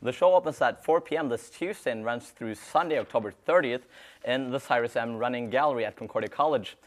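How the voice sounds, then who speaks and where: Give me a young man co-hosting campus radio, halting and rambling. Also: mid-range voice. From Norwegian. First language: Norwegian. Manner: halting, rambling with fillers in chat. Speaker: college radio co-host. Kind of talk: casual chat and read announcements.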